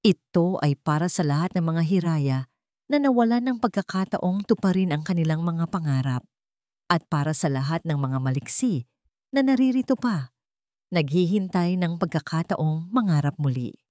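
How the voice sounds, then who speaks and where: Female narrator as steadfast young heroine, audiobook drama, earnest, calm, lively intonation, close dry sound. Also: lowish voice, moderate pace, no room sound, close to an everyday voice. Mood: steady, moved. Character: resolute young woman survivor turned shelter founder.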